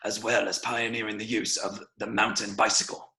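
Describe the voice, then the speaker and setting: A man reading a formal service record in a measured, official voice-over. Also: lowish voice, level tone, sharp tone. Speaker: voice-over reader.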